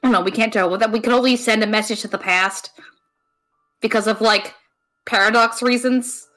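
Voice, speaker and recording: A woman's voice: a little high, fairly even intonation, normal speed, fairly bright tone, lightly acted. A woman playing a smug, brainy student sidekick with a dry, teasing manner in an improvised audio drama. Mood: exasperated. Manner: dry, teasing, matter-of-fact. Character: smug, brainy female student, sardonic know-it-all sidekick. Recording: faint music behind the voice.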